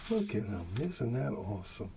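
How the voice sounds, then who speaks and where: A man speaking softly and soothingly as a father at bedtime in an ASMR roleplay, often trailing toward a whisper, with a creaky voice. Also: medium pitch, big pitch swings, normal speed, background noise. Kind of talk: soft ASMR bedtime storybook reading, talking to a child.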